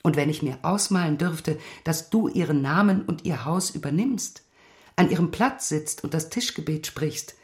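Older gentlewoman confidante, period radio drama: low voice, dignified, affectionate, earnest, measured. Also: animated. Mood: moved, fond. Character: dignified, affectionate older lady advising her young friend. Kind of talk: literary radio play acting.

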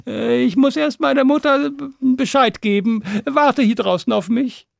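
A man's voice: extremely high pitch, a little slow, gravelly, dry recording, clean studio sound, pitched above the performer's own voice, heavily acted. A man voicing a big but easily cowed dragon son in a fairy tale, hesitant and pleading. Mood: hasty.

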